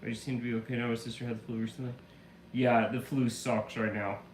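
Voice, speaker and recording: A man's voice: medium pitch, flat delivery, fast. A man live streaming who is getting over the flu and still has a slight cough. He chats casually, rambling through a story with lots of fillers. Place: room at home. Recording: echoey room with faint ambient hum.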